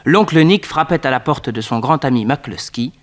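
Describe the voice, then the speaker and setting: An actor playing a detective's nephew who narrates a crime case in a scripted French radio drama. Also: male voice, mid-range voice, animated, moderate pace, close to an everyday voice. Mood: grave, measured. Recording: slightly noisy old radio recording.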